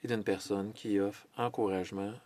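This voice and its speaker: A man giving a simultaneous interpretation of a formal parliamentary speech, measured, with hesitations and self-corrections. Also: on the low side, fairly even intonation, a little mellow. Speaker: French interpreter of a Senate speech.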